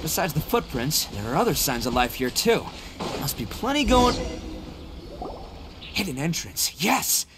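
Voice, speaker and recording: A man's voice: a little high, sing-song, a little slow, long pauses, background noise. An actor voicing a brash, boastful, good-hearted young male oni gang boss in a video game. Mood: curious, upbeat. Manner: boastful, cocky, boisterous. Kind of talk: video game character acting.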